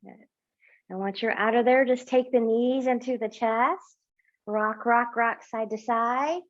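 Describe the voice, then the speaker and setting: Gentle yoga teacher woman, muffled sound. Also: on the high side. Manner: slow, gentle cueing with repeated affirmations. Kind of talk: guided yoga class instruction. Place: small home yoga studio, indoors.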